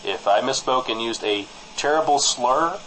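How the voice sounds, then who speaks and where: A man playing an apologetic small-town broadcaster in improvised comic radio banter, halting and over-formal on a studio mic. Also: mid-range voice, lively intonation, a bit bright, slight background noise, natural voice, poor audio. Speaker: co-host of a fictional small-town news show.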